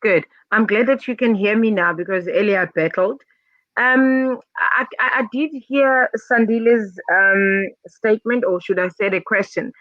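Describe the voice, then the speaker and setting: A woman's voice: medium pitch, a little slow, dry recording. A woman speaking at an online youth summit, hesitant and full of fillers and repeats, over a video call. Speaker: summit participant. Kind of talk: casual online discussion. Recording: dull dry video-call audio.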